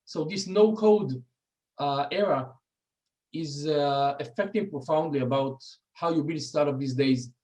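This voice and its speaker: A middle-aged man with an Israeli accent gives an informal talk. His voice sits slightly high, and he speaks haltingly, often pausing on "um" and restarting his sentences. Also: darkish tone. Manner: halting, many ums and restarts. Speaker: guest speaker.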